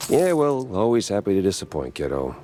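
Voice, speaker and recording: Deep, sardonic noir mouse detective. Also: male voice, sing-song, brisk, mellow, slight background noise. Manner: dry, sardonic. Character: world-weary, wisecracking cartoon mouse private detective. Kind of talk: hardboiled noir game acting.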